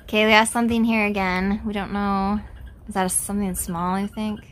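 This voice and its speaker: A woman hosting a casual vlog, chatting and exclaiming excitably into a handheld camera inside an ice fishing tent. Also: mid-range voice, fairly quick, slight background noise. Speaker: vlog host. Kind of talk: casual excited chat while filming. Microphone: handheld camera or phone.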